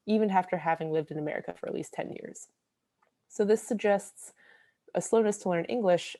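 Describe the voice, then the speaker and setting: Young woman researcher, lowish mellow voice, careful explanatory slide talk, dry sound. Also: no room sound. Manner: explanatory, careful, with frequent ums. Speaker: graduate researcher presenting.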